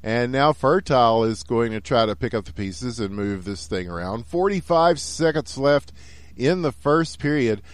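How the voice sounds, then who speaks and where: Excitable Texan man calling a hockey game. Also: mid-range voice, moderate pace, no echo. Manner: excitable, rising to shouts on goals. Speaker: esports caster. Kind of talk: play-by-play commentary.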